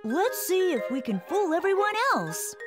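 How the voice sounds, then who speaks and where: A high, bouncing boy's voice for a curious young prince, proud and a little imperious, as a woman voices him. He speaks slowly and with lots of swing in his pitch. Music plays underneath the storybook cartoon. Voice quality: high, lively boyish voice. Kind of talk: animated storybook dub.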